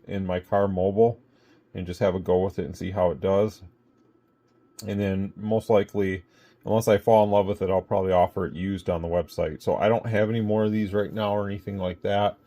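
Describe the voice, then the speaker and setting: Low, mellow-voiced man demonstrating gear casually. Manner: rambling, thinking aloud. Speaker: product reviewer and radio seller. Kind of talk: casual unscripted product demonstration.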